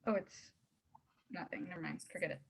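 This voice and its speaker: A woman playing a shy, guarded teenage girl in a quiet, halting way at a script table read. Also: deep voice, wildly swooping pitch, gravelly, breathy edge, full character voice. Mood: awkward, hurt.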